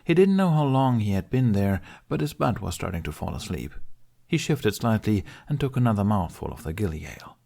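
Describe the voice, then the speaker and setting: Low, gravelly male audiobook narrator. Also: very animated, fairly quick, mellow. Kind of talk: scripted story narration.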